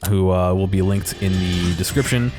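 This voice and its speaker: A man with a fairly low voice talks casually through a video game as its commentator. He is hesitant and musing, thinking aloud as he goes, with some music playing behind him. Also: normal speed. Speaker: video creator, gameplay commentator.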